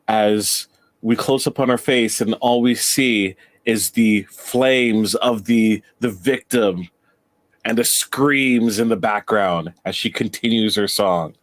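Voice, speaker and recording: A low-voiced man speaking very slowly as a podcast guest. He paints a scene step by step, taking his time like a storyteller. The rhythm stays deliberate and unhurried. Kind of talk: casual debate, telling a scene.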